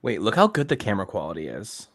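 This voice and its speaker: Dude opening packs, talks fast and bouncy, short reactions. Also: male voice, on the low side, very animated, a bit bright. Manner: brief reactive comments. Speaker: co-host friend. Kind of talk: casual chat while opening packs.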